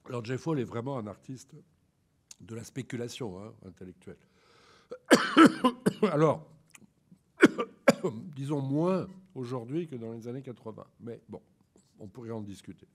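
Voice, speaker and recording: Male lecturer, head cold, breathy voice, thinks aloud with frequent hesitations. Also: medium pitch, big pitch swings, measured pace, pauses often, fairly bright tone. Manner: hesitant, many ums and restarts. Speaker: lecturer on photography. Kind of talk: informal art lecture.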